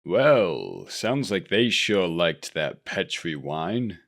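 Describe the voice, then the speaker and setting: Very slow, deep, creaky male announcer. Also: sing-song, dry recording, studio quality. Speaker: presenter. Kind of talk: tongue-in-cheek scripted announcing.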